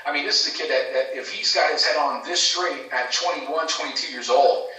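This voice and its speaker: Echoey, bright-voiced man talking fights. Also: fairly high pitch, brisk. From Canada. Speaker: podcast guest, former fight manager. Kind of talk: casual sports chat. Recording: echoey, distant from the mic.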